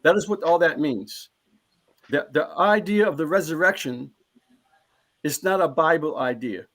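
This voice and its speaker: A man with a fairly high-pitched voice speaks as a panelist in a live discussion. He is earnest and emphatic, and he circles back over his own phrases as he presses each point home. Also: expressive, moderate pace, a bit bright.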